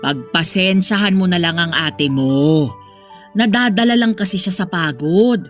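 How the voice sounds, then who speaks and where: A woman's voice: fairly low pitch, unhurried, faint music behind, lightly acted. A woman with a Filipino accent acting a weary, pleading mother who placates and sighs in an audio drama. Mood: placating, weary. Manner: placating, exasperated, sighing. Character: weary, pleading mother of two grown daughters.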